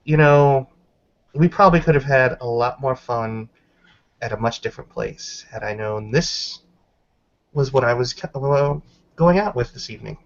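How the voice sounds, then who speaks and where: A man's voice: mid-range voice, normal speed, vocal fry, muffled recording, lightly acted. A man voicing a cheeky, smart-aleck female werewolf in a tabletop game, in a wry, casual manner. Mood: wry, teasing.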